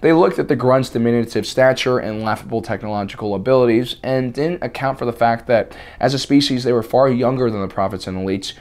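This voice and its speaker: Man, video essay host, slightly raspy, deadpan, mock-serious, mid pitch. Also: brisk, few pauses. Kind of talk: scripted video essay with deadpan in-character jokes.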